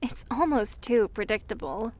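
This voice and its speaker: A woman's voice: a little high, lively intonation, normal speed, a little mellow, no echo. A woman playing a sensible, caring young friend in an audio roleplay, teasing the others in a dry, wry manner. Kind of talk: interactive audio roleplay acting.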